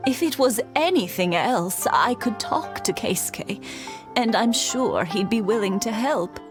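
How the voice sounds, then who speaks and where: An actress playing an affectionate old wife in a game, speaking slowly and fondly with gentle teasing. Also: female voice, a little high, animated, music in the background. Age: older. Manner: slow, fond, gently teasing.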